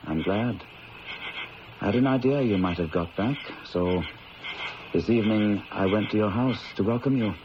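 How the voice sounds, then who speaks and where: An actor playing a ruthless male diamond thief in a 1970s radio drama, speaking in a calm, measured, quietly menacing way. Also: on the low side, lightly acted. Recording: lo-fi old radio broadcast with hiss.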